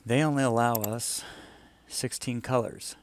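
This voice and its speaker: A man's voice: mid-range voice, steady tone, unhurried, a little hiss or hum. A man talking casually through an on-screen demonstration, informal and thinking aloud as he goes. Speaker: client explaining a design project. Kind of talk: casual screen-share walkthrough.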